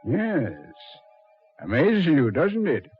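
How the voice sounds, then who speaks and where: An actor playing a suave, mocking Devil in a measured, mock-polite 1940s radio horror style, on a muffled old recording. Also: male voice, fairly high pitch, sing-song, slightly rough, soft background music, exaggerated voice. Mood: sardonic. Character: the Devil, suave, mocking, coldly taunting male master of hell.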